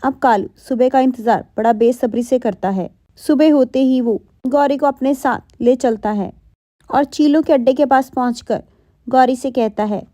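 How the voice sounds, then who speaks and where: A woman's voice: high-pitched, normal speed, mellow, dry recording, clean studio sound. A woman narrating a scripted children's story.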